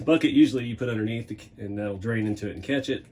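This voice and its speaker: A hoarse man at a middle pitch talks through his hobby work in a relaxed, rambling way, chuckling now and then. He is in his home workshop, with a faint hiss of ambient noise behind him. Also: fast talker. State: losing his voice. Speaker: hobby channel host. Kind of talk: casual workshop vlog.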